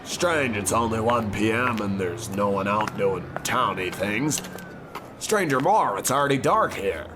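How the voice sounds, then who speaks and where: Guy doing a stuffy rich gentleman, old radio horror comedy, slow formal delivery, fussy and easily exasperated. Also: fairly low pitch, lively intonation, background noise. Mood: uneasy, musing. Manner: formal, fussy, hesitant stammering when rattled. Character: well-to-do, pompous, easily exasperated gentleman traveler.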